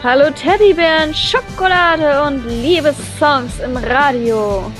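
A woman reading a visual novel aloud in an animated, playful way, breaking off into her own comments. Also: high-pitched, takes it slowly, soft background music. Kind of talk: expressive let's play read-aloud.

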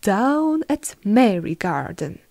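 A woman voicing a menacing, wheedling male wolf from a fairy tale, with emphatic, drawn-out delivery. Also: medium pitch, sing-song, vocal fry, darkish tone, dry recording, exaggerated voice. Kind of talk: storybook fairy tale character voice.

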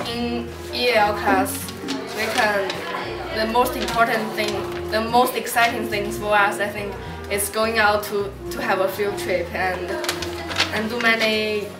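A teenage student girl from China, speaking haltingly and restarting words in an interview. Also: mid-range voice. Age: teens. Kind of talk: interview testimony. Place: school classroom, standing. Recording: echoey classroom from far off. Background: background music.

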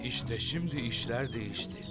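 A man voicing a grumpy, suspicious, easily panicked cartoon schemer, muttering and yelling dramatically. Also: medium pitch, moderate pace, loud music behind the voice, close to an everyday voice. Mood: suspicious, grumbling. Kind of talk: cartoon voice acting.

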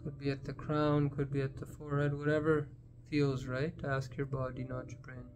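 A man leading a guided meditation in a gentle, unhurried manner, leaving long pauses between phrases. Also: medium pitch, completely flat delivery, slight background noise.

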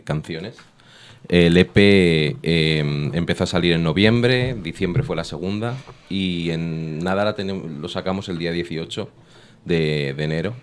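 A man from Spain with a Spanish accent, a rap artist answering questions as a guest in a radio studio, relaxed and thoughtful with frequent pauses. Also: low voice. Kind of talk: interview answers. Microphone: studio microphone.

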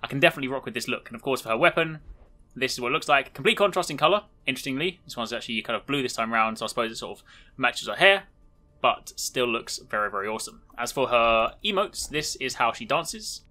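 A man's voice: medium pitch, expressive, fast, bright, forceful tone. A man hosting a gaming video, giving chatty, informal, enthusiastic showcase commentary.

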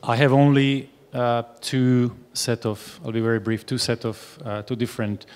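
A man's voice: mid-range voice, level tone, moderate pace, darkish tone, smooth. A man in his forties chairing a panel, speaking hesitantly with many fillers and restarts at a table mic. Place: large hall. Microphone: table mic on stand. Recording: reverberant hall sound.

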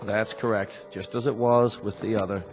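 An actor playing a calm, formal, businesslike clinic proprietor in a radio drama. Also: male voice, fairly low pitch, normal speed, background noise, close to an everyday voice. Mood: composed. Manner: calm, formal, matter-of-fact. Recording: music clearly behind the voice.

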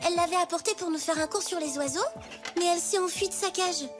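A woman's voice: very high voice, fast talker. A woman playing an eager schoolgirl of about ten in a children's cartoon. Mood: worried. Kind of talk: animated children's series dub. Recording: music behind the dialogue.

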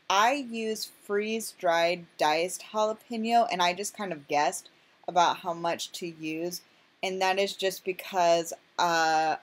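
A woman casually talking through her home cooking in a chatty, self-deprecating, rambling way, full of fillers. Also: medium pitch. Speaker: vlogger.

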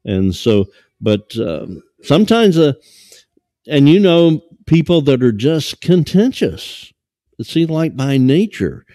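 An older man in his sixties preaches to a small church congregation from behind a lectern. His voice is dark and mellow. He talks haltingly, full of fillers and restarts, and the stand mic catches him dry and close. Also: on the high side, sing-song, no echo. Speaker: pastor. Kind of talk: sermon.

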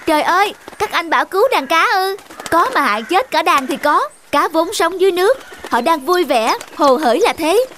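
A woman's voice: extremely high pitch, animated, moderate pace, fairly bright tone, slight background noise. A woman voicing a small, sensible, exasperated forest bird in a cartoon. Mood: exasperated scolding. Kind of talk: cartoon voice acting.

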